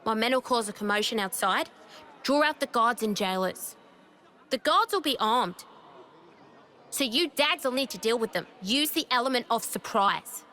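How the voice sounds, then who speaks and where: A woman's voice: on the high side, expressive, normal speed, bright, forceful tone. An actress playing a brash, sarcastic young woman rebel leader in a video game, with a blunt, cocky way of talking. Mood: commanding. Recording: game music behind the voice.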